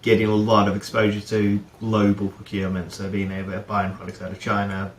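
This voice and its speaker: Low-voiced man in an echoey room. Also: monotone, fairly quick, slight background noise. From New Zealand. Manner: rambling, many fillers and restarts. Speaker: guest, head of procurement. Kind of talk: casual interview chat.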